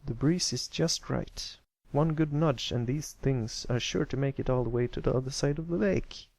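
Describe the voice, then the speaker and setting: A man's voice: mid-range voice, moderate pace, vocal fry, darkish tone, dry recording, voice pushed up. Man as a confident, easygoing big-brother pony. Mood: cheerful. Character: confident, kindly big-brother stallion, young.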